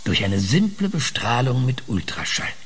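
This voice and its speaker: An actor playing a sardonic, embittered scientist in a radio play, with a husky, raspy voice and a hesitant, halting delivery. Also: male voice, medium pitch, big pitch swings. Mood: probing, excited. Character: sardonic, embittered male scientist, last of his kind.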